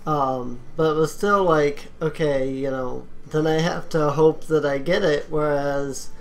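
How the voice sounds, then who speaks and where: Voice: medium pitch, steady tone, measured pace. A gaming video host chatting casually during gameplay, explaining at length in a rambling, anecdotal way. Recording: game music behind voice.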